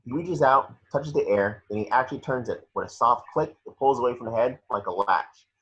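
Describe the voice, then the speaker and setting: A man's voice: mid-range voice, brisk, fairly bright tone, husky. A man reading scripted action descriptions as narrator for a table read, on a muffled home recording.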